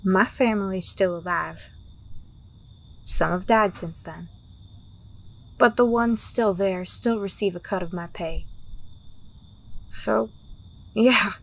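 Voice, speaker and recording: A woman's voice: medium pitch, slow, vocal fry, long pauses, low-quality recording. Woman acting a blunt, world-weary fantasy mercenary woman. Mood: somber, resigned. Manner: blunt, wry, teasing. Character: world-weary, teasing, pragmatic woman working for a crime boss. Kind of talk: fantasy audio drama acting.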